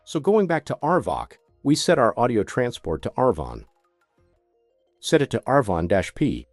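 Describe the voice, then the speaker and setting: A man's voice: mid-range voice, sing-song, moderate pace, no room sound, clean studio sound, soft background music. A man narrating a scripted product tutorial as a demonstrator, giving methodical step-by-step instructions.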